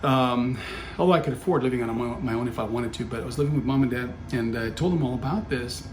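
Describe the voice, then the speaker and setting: A man's voice: mid-range voice, quick pace. Man, middle-aged, rambling anecdote, lots of ums, echoey room, faint hum. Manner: rambling with many fillers and self-corrections. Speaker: podcast guest, company founder. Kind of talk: casual storytelling. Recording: roomy echo with faint background hum.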